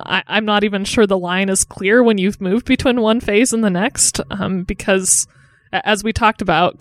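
A woman's voice: mid-range voice, no room sound. A woman teaching pastor speaking as a guest on a church podcast, articulate and thoughtful. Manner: articulate, thoughtful, light laughs. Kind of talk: conversational podcast discussion.

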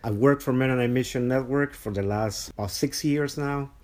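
A man from Honduras, a mission program director, explaining his work in a measured way on a podcast interview. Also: medium pitch, a little mellow.

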